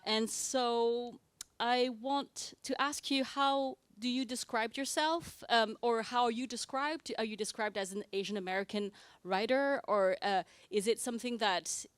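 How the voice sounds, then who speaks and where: A woman from France moderating a library author talk, asking questions in a halting way with many fillers and restarts. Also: a little high, no room sound. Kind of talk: conversational interview. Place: library event space with live audience.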